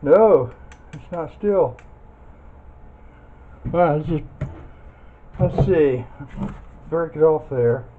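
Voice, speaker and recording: A man's voice: a little high, lively intonation, very slow, long pauses. A man giving a casual hands-on demonstration as a video host, folksy and thinking aloud while he works. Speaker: video host demonstrating scrap metal teardown. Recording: dull lo-fi sound, faint noise and clicks.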